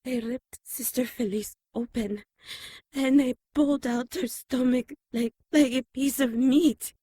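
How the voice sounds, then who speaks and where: An actress playing a frightened, shaken nun in an audio drama. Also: female voice, high-pitched, fairly even intonation, unhurried, mellow, breathy edge, no room sound, clean studio sound. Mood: terrified. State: shaken, breathy.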